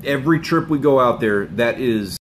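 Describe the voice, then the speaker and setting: A man hosts a fishing channel live stream from home, chatting loosely with viewers and sounding hoarse from a cold. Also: mid-range voice, moderate pace, a little hiss or hum. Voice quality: rambling, laid-back, with chuckles and filler words. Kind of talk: casual live stream chat. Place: home room with bookshelves and a window. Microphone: phone camera up close.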